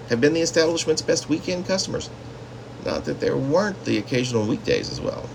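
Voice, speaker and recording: A man reading his own literary story as a poet, with theatrical, drawn-out phrasing and dramatic emphasis. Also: on the low side, noisy background.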